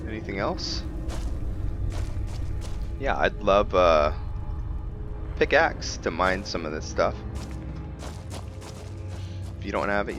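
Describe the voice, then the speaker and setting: A man giving casual gameplay commentary on a roleplay, thinking aloud and often pausing to find his words. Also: sits low. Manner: musing, thinking aloud with frequent hesitations. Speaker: gaming video host.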